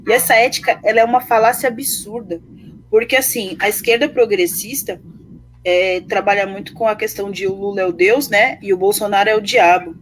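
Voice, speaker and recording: A woman's voice: mid-range voice, fairly even intonation, brisk, slight background noise, rough recording. A Brazilian woman speaking with passion and arguing her points in a discussion. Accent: Brazilian Portuguese. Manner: impassioned, argumentative. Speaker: panelist.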